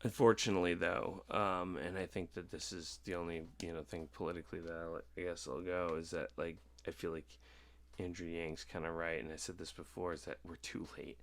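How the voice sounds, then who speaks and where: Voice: sits low, flat delivery, a little fast. A podcast host chatting casually and giving opinions in an informal, emphatic way.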